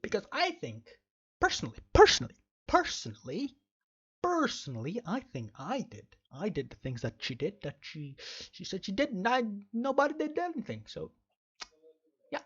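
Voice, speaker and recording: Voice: mid-range voice, huge pitch swings, moderate pace, a bit bright, breathy edge, muffled recording. A game streamer giving rambling let's play commentary in an emphatic, mock-stern way, often repeating phrases. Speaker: video game streamer and host.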